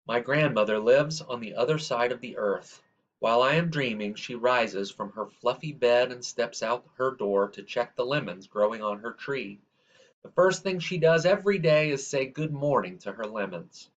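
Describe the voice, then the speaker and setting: A man with a medium-pitched voice narrates a children's storybook. His delivery is measured and expressive, lingering on the ends of phrases. The recording is somewhat dull and lo-fi. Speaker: storyteller reading a children's picture book aloud. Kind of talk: read-aloud scripted narration.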